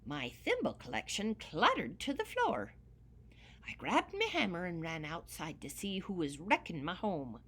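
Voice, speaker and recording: A woman voicing a grumpy little male leprechaun shoemaker with an Irish accent, narrating a storybook in a lively sing-song way. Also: lowish voice, a little slow, breathy edge, heavily acted. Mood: grumbling. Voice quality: brogue-y dialect, very lively sing-song delivery. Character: grumpy old male leprechaun shoemaker, tiny, hoards gold.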